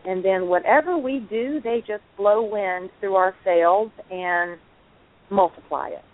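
A woman's voice: medium pitch, measured pace. A woman co-hosting an online talk show, chatting in an effusive, enthusiastic way. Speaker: co-host. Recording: phone-like narrow line.

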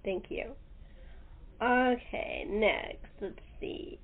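A woman's voice: fairly high pitch, extremely slow. Woman, middle-aged, casual interview host, conversational, narrow lo-fi call audio.

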